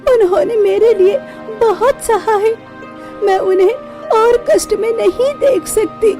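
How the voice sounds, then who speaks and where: A woman's voice: extremely high pitch, unhurried. A woman playing a meek, dutiful young daughter who pleads politely in a melodramatic cartoon story. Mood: pleading. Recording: music behind the voice.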